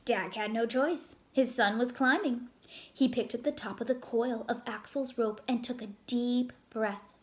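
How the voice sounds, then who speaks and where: A woman narrating a children's story aloud in a deliberate, expressive way. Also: a little high.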